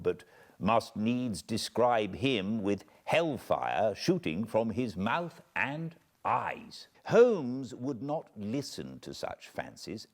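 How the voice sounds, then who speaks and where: A British man reading a classic novel aloud as an audiobook narrator, in a measured, expressive storytelling manner. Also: fairly low pitch. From Britain. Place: cozy spot by a fireplace at home.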